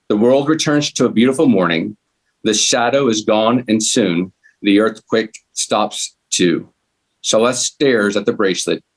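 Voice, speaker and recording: A man's voice: lowish voice, low-quality recording. A man reading a script's narration and stage directions aloud at a table read.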